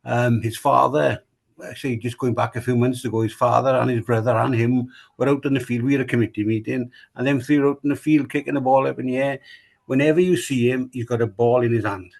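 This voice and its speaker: A man's voice: mid-range voice, steady tone, quick pace, creaky, darkish tone. A man with a Welsh accent, a rugby club chairman, talking casually on a podcast, rambling and repeating himself with many fillers.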